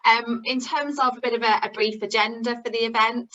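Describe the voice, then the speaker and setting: A woman's voice: fairly high pitch, steady tone, brisk, bright, forceful tone, muffled recording. A woman hosting an online event, welcoming attendees in a friendly way with frequent fillers. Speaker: webinar host. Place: online webinar.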